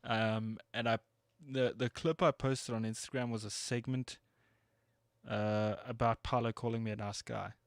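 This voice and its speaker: A man's voice: mid-range voice, steady tone, unhurried, no room sound, clean studio sound. A man co-hosting a sports talk show, laid-back and easily amused. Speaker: co-host and producer. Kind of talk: casual radio chat.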